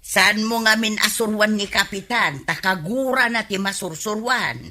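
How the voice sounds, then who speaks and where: A woman's voice: mid-range voice, moderate pace, bright, forceful tone. A woman playing a skeptical, nagging village woman in a Filipino comedy radio drama, with an Ilocano accent.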